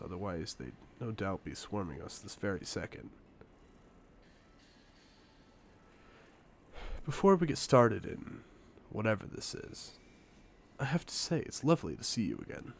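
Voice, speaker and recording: A man playing a suave, confident secret agent in a spy audio drama, speaking in a playful, theatrical, deliberate way. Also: on the low side, darkish tone, slight background noise. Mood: amused, warm. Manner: smooth-talking, playful, theatrical, deliberate phrasing. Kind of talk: scripted one-sided audio roleplay, spy drama.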